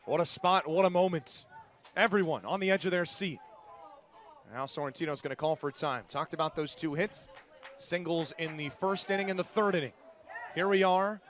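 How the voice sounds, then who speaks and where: A man's voice: fairly high pitch, normal speed, a bit bright. A man doing live play-by-play sports commentary in punchy, clipped phrases, as an announcer calling the game. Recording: live stadium crowd behind the voice. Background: outdoor ballpark crowd.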